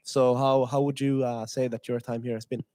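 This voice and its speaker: A man's voice: mid-range voice, level tone, moderate pace, mellow. A young man from Finland moderating a streamed student panel, hesitant with many filler sounds. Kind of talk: panel discussion on a livestream.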